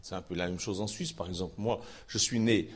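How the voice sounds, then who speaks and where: A man's voice: sits low, fairly quick, husky. A man from Switzerland with a Swiss French accent, preaching an informal Bible sermon in a folksy, chatty way with asides to his listeners. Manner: folksy, chatty asides, lively rising emphasis.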